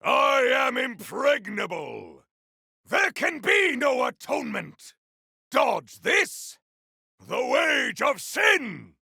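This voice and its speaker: A man playing a zealous, boastful, battle-hungry warrior champion in a video game, with a raspy voice and bombastic, archaic delivery. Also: very high voice, huge pitch swings, extremely slow, bright, forceful tone, dry recording, raised voice, clean studio sound, exaggerated voice. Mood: defiant, boastful. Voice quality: gravelly, raspy. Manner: bombastic, archaic, theatrical declamation. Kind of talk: video game combat barks.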